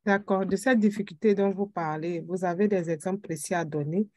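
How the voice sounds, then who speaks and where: A woman's voice: fairly low pitch, brisk, a little mellow, dry recording, studio quality. A woman moderating a webinar interview, thanking guests and asking questions in a polite, formal way.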